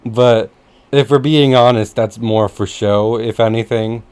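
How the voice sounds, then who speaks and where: A man hosting a casual podcast chat, rambling and jokey, with easy swearing and an animated delivery. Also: mid-range voice, measured pace, smooth. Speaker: podcast host.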